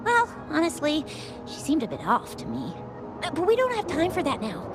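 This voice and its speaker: A very high-voiced, lively young woman. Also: normal speed, music in the background. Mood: earnest, businesslike. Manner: expressive, colloquial. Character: earnest, spirited, talkative young woman. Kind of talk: video game character dialogue.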